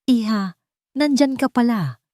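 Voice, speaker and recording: Casting: frail wealthy old mother, read by a female narrator, reassuring, tired, warm-toned, radio-style story. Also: fairly high pitch, expressive, a little slow, dry recording, studio quality, pitched above the performer's own voice. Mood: gentle, weary. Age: elderly.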